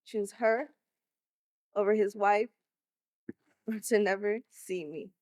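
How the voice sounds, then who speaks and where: A woman's voice: medium pitch, steady tone, slow pace, a little mellow, close to an everyday voice. A woman playing a hurt, defiant teenage schoolgirl in an emotional, accusatory stage monologue. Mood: hurt, sorrowful. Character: hurt, defiant 16-year-old schoolgirl confronting her mother. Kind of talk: stage play acting.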